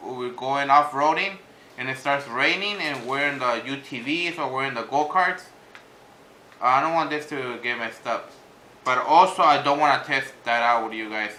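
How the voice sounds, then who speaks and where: A man talking casually in an unscripted vlog as he reviews gear, in a rambling, halting way. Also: medium pitch, sharp tone, rough recording. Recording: clear background noise, vehicle sounds.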